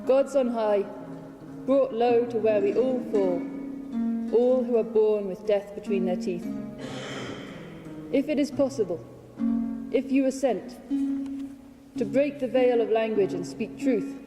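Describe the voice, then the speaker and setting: A woman reads poetry dramatically at a slow, deliberate pace, leaving weighted pauses. Her voice rings in a large hall, with plucked string music clearly audible behind her. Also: a little high. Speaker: reader of own poetry translation. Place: large hall at a public reading.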